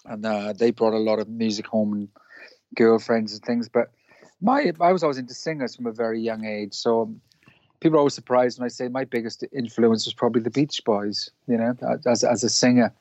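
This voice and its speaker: A man from Wales, a musician guest, answering in a halting way with many restarts. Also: medium pitch, steady tone, darkish tone, dry recording. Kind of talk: casual interview chat. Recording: dull dry lo-fi line.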